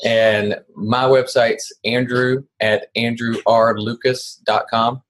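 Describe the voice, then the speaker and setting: A man's voice: medium pitch, little pitch movement, slow, fairly bright tone, poor audio. A man from South Carolina, a guest on a podcast, speaking casually and a little hesitantly, with many fillers. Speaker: guest, real estate investor. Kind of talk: casual interview.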